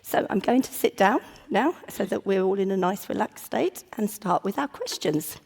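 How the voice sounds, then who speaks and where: A woman with a croaky voice moderating a conference panel, polite and clearing her throat often. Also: medium pitch, lively intonation, normal speed, darkish tone. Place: conference stage before an audience. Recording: long hall reverb.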